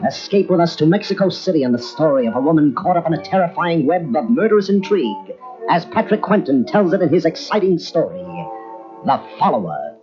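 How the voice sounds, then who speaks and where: A man delivering a slow, hushed, dramatic promo as a 1940s radio announcer. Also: fairly high pitch, big pitch swings. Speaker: show announcer. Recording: muffled old radio tape with faint music.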